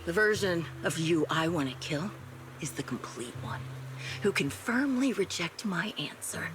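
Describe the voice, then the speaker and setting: An actress playing a haunted, vengeful ex-soldier woman in a video game, measured and brooding, coldly resigned as she speaks. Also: on the low side, expressive, noisy background. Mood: resigned, menacing. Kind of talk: scripted video game story acting.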